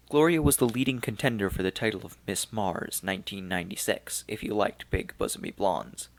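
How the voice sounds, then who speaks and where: A man narrating a science fiction audiobook in a gravelly voice, with even, unhurried storytelling. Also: lowish voice. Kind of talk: scripted narration.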